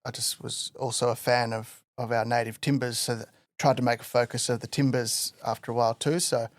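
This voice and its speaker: A man's voice: medium pitch, a little fast, no echo, studio quality. A man from Western Australia, a guest artist telling his story in a casual podcast interview, loose and full of fillers. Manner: relaxed rambling storyteller with many fillers.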